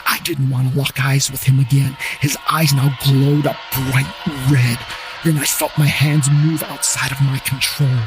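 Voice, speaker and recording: A man with a mid-pitched voice narrates a scripted tale in the first person, in audio drama style. Guitar music plays clearly behind him. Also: flat delivery, unhurried.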